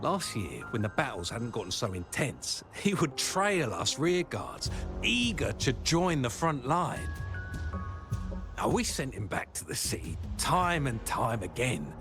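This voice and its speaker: Earnest, talkative army rearguard for a game; highly animated intonation, music underneath. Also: male voice, unhurried. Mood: concerned, regretful. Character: military rearguard soldier, earnest, talkative, slightly flustered. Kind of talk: video game dialogue acting. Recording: background music.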